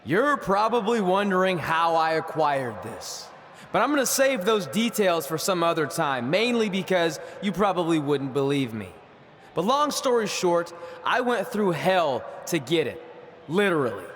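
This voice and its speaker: A brash young wrestler in a game cutscene. He boasts and goads in a high voice that rings around a large hall. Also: very animated, unhurried, a bit bright, a little hiss or hum. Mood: boastful. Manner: boastful, goading, declamatory. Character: brash, taunting young male wrestling challenger.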